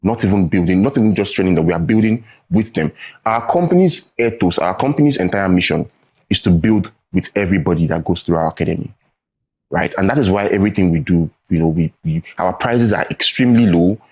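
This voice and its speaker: A man's voice: deep voice, animated, a little fast, a little mellow, slightly rough, dull audio. A man with a Nigerian accent, a studio guest in a TV interview, explaining his points emphatically and repeating phrases for stress. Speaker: tech academy founder, guest.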